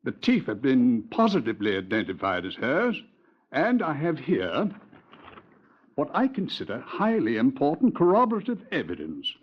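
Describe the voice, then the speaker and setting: Formal pathologist, old radio play, animated rising delivery, lo-fi sound. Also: male voice, medium pitch, moderate pace. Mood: precise. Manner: formal, precise, authoritative. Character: precise, formal male pathologist, confident expert. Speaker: Home Office pathologist. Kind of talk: 1950s radio drama acting. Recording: lo-fi vintage radio transcription.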